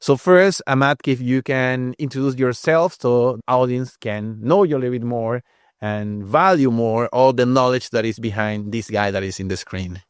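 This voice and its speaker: A man hosting a podcast interview, speaking hesitantly with pauses and fillers. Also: medium pitch, clear, resonant tone, no echo, studio quality. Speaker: podcast host.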